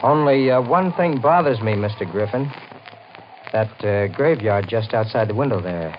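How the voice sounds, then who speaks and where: Blunt male home buyer acted, vintage radio drama, expressive swings, dull lo-fi sound. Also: on the low side, normal speed, natural voice. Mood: uneasy. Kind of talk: 1950s radio acting. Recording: dull, noisy old radio broadcast.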